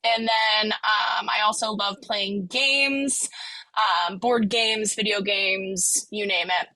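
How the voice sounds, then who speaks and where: Bright, upbeat woman presenting. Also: mid-range voice, fairly even intonation, slow, no room sound, low-quality recording. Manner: upbeat and chatty, with frequent ums. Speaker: student success advisor. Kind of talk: presenting an online info session.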